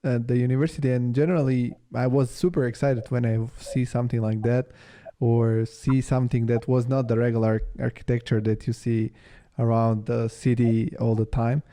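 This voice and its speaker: A man from Bulgaria and Italy hosting a casual interview, informal and halting as he thinks aloud. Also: mid-range voice, little pitch movement, warm tone. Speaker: podcast host, interviewer.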